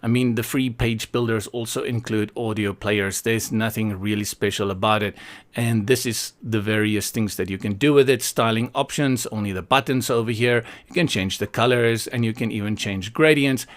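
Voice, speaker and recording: A man from South Africa presents a casual software tutorial in an opinionated, playful way, thinking aloud as he goes. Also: fairly low pitch, moderate pace.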